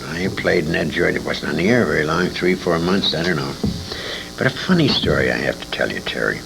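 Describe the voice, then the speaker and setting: A man's voice: deep voice, animated, a little fast, vocal fry. An older man, a former radio actor, reminiscing as an interview guest in a rambling, self-correcting way on a noisy amateur recording.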